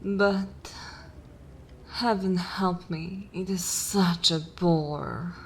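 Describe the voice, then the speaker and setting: Slow, haughty ancient vampire mistress, acted by a woman. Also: lowish voice, steady tone, a little hiss or hum. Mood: bored. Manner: languid, theatrical, disdainful, lapsing into whispers. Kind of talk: ASMR dark fantasy roleplay.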